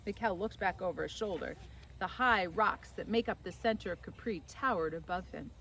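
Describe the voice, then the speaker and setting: A woman's voice: lowish voice, normal speed, pushed and bright, slight background noise, rough recording. A woman reading a children's story aloud as scripted narration. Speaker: audiobook reader.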